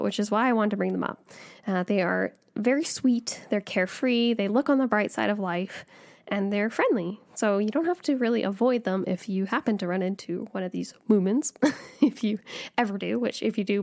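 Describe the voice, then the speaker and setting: A woman's voice: medium pitch, a little fast, gravelly, darkish tone. A woman in her late twenties co-hosting a podcast, bubbly and giggly in casual chat. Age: late 20s. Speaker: podcast co-host.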